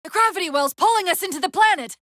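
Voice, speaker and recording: A woman playing a brisk, wry, urgent military AI companion in a video game, giving crisp commands and tactical briefings. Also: very high voice, lively intonation, a bit bright, no echo, clean studio sound. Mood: urgent, alarmed.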